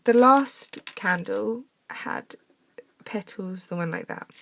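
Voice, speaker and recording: A young woman with a croaky voice from a cough, chatting through a henna craft demonstration at her desk at home. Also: medium pitch, slow, a little hiss or hum. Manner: chatty, talks while drawing, drops to soft mutters. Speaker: craft tutorial maker.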